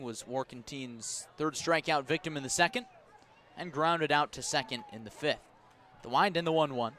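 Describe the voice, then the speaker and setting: A man doing live baseball play-by-play on the radio at an outdoor ballpark, measured between plays and rising with the action. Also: mid-range voice, a bit bright. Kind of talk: live sports commentary.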